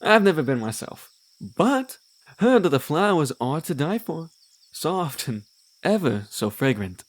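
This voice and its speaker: A man's voice: a little high, very animated, a little slow, no room sound. A man playing a charming, playful demon who is a wandering bard in an audio drama, theatrical, flirtatious and breezy. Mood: gentle, charming.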